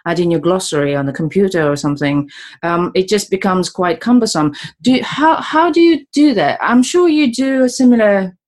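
A woman's voice: medium pitch, lively intonation, moderate pace, darkish tone. A woman who speaks natively, co-hosting and interpreting, chatting in a friendly way with many short replies. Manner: friendly, backchannels a lot. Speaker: podcast co-host and interpreter. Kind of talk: casual chat.

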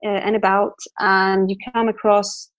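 A woman's voice: mid-range voice, flat delivery, moderate pace, muffled recording. A woman from Italy who speaks Italian natively, chatting as a guest about how she learns English, with frequent fillers and restarts. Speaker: guest interpreter. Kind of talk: casual chat. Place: London.

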